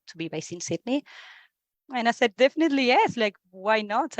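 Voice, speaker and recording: A woman in her thirties from Argentina with an Argentine Spanish accent, talking as a guest in a relaxed interview, repeating words and laughing easily. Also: medium pitch, no room sound. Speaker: guest, HR leader. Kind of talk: conversational interview.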